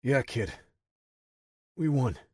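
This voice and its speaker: A man's voice: medium pitch, sing-song, takes it slowly, darkish tone, breathy edge, no echo, clean studio sound, exaggerated voice. A man doing a terse, stoic agent. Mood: dry. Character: tough male field agent, stoic and wry.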